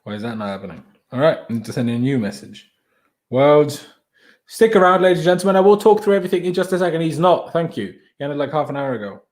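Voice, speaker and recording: A man from Austria with a slightly raised pitch, hosting a relaxed live stream. He talks in a loose, chatty way and answers viewer comments one after another, calling people bro. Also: fast.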